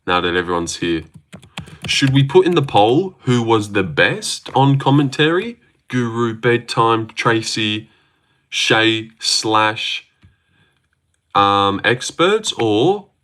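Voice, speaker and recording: A low-voiced Australian man chats through a livestream, wandering from thought to thought and joking as he goes. His talk is loose and full of fillers and restarts. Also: bright, forceful tone. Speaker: livestream host. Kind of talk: casual livestream chat, reading out viewer comments.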